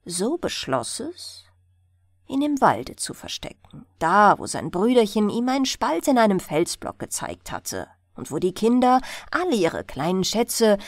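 Expressive, unhurried woman reading a bedtime story. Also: mid-range voice, dry recording, studio quality. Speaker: audiobook narrator. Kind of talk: bedtime fairy tale narration.

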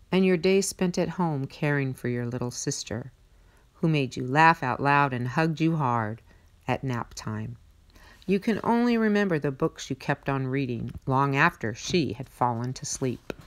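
A woman reading a picture book aloud in a measured, expressive storytelling manner. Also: low voice. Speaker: read-aloud narrator.

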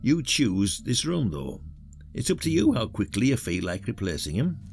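A man's voice: fairly low pitch, sing-song, normal speed, creaky, darkish tone, husky, faint music behind, full character voice. A man acting as a wry, patronising retired detective in an audio drama, with a folksy, teasing way of talking. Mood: probing, suspicious.

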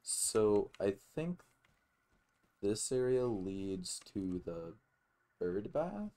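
A man's voice: lowish voice, very animated, drawn-out words, mellow. A man giving casual let's play commentary, murmuring short reactions to himself as he plays. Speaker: gaming video host.

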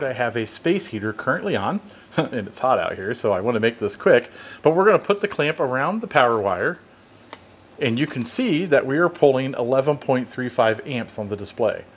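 A man's voice: medium pitch, husky. An American man with a mid-range voice talks through a tool demonstration at his workbench. He explains things in a plain, conversational way, as in a hands-on product review. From United States. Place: home workshop at a workbench.

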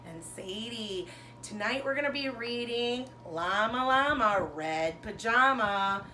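A woman in her thirties, a teacher reading a children's storybook aloud to pupils with expressive, sing-song phrasing and emphasized words. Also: a little high, takes it slowly, a little hiss or hum. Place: bedroom, indoors. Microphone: camera a couple of metres away.

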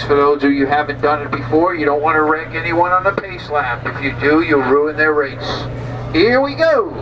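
A man stands at a distance in a racing simulator hall and gives firm, step-by-step directions to the drivers. His voice sits a little high and comes through muffled and far from the mic. Heavy noise runs under everything. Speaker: simulator instructor. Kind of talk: giving instructions over a PA.

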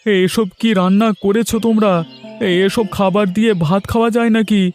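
A man's voice: sits high, steady tone, a little fast, darkish tone, faint music behind, close to an everyday voice. A man voicing a worried, irritable village farmer and husband in a cartoon drama. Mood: irritated.

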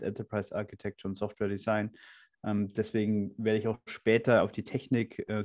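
A man's voice: fairly low pitch, darkish tone. A man introducing himself as a solutions engineer in a webinar over a video call, matter-of-fact and fluent.

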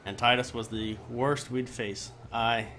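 A man doing a live let's play, reading quest dialogue aloud haltingly and often restarting his phrases. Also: medium pitch, steady tone, sharp tone. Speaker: game streamer. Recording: music behind the voice. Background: game music.

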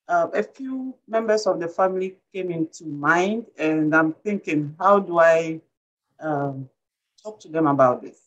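A woman's voice: deep voice, rough recording. A middle-aged woman with a Ghanaian accent, asking a question on a video call, hesitant and thinking aloud. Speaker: community member asking a question. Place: home room indoors. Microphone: video call webcam.

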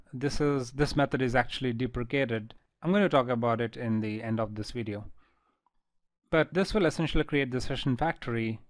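Man, coding instructor, calm step-by-step voice-over, mid-pitched, dry close sound. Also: no echo. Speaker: programming instructor. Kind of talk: screencast coding tutorial. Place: voice-over on screen recording.

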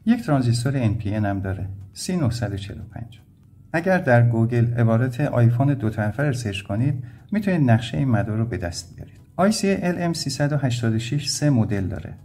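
A man's voice: mid-range voice, animated, dark tone. A man from Iran explaining step by step as an electronics instructor, in a plain, methodical way. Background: background music.

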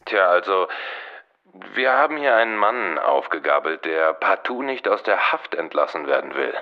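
Tiefer, höflicher Polizist am Telefon. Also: male voice, low voice, big pitch swings, moderate pace. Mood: polite, hesitant. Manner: formal, polite. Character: polite, hesitant male police sergeant. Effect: telephone filter. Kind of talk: German audio drama dialogue. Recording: dull telephone line.

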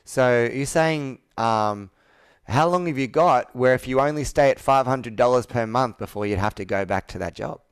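A man's voice: mid-range voice, normal speed, clear, resonant tone. A man in his thirties coaching a sales roleplay on a video call, asking probing questions and repeating answers back. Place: indoor room with whiteboard, on a video call.

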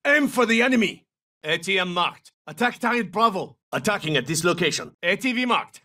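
A tough video game soldier with a high, hugely varied pitch. He delivers terse tactical callouts in a clipped, commanding way. The sound sits a little distant from the mic. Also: lively intonation, brisk, pushed and bright, full character voice. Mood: terse, focused. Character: tough, terse male special forces soldier. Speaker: video game operator voice actor. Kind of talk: military shooter game callouts, short barked lines.

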